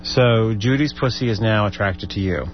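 A man's voice: lowish voice, normal speed, muffled recording, faint music behind. A man co-hosting a radio show in casual comic banter, with a wry, teasing, wisecracking manner. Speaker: radio co-host.

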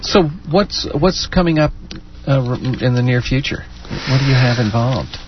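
A man co-hosting a radio show, chatty and animated. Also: mid-range voice, moderate pace, a little hiss or hum. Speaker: radio co-host. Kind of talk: radio interview. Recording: dull radio studio sound.

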